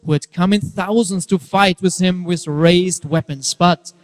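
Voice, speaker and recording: A man narrating a dramatic scripture reading in a theatrical, emphatic way, swelling and hushing for effect. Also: sits high, fairly even intonation, measured pace. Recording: long reverberant hall echo.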